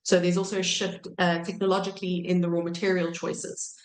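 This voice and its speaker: A woman in her thirties giving an academic lecture while croaky from a cold, pausing often with ums and correcting herself. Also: fairly low pitch, level tone, reverberant. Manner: hesitant, many ums and self-corrections. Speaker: archaeology researcher giving a talk.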